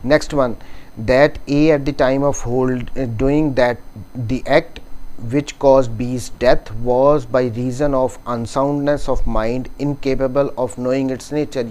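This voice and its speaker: Male law lecturer, Pakistani accent, medium pitch, unhurried delivery, explanatory, code-switches into English legal terms. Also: darkish tone, rough recording. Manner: mixes English legal terms and slowly read passages into explanation. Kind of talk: explanatory law lecture, reading statute clauses and explaining them.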